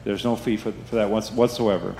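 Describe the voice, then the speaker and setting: A man's voice: on the low side, steady tone, fairly quick, noisy background. A man giving church announcements to the congregation in a chatty way, often asking "right?". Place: church sanctuary. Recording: echoey hall, faint ambience.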